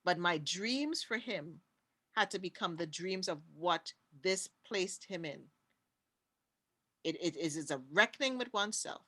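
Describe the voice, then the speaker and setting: Bright, emphatic Trinidadian woman. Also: lowish voice, lively intonation, measured pace. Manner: emphatic, preachy, repeats key words. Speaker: conference panelist. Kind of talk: impassioned talk on a panel.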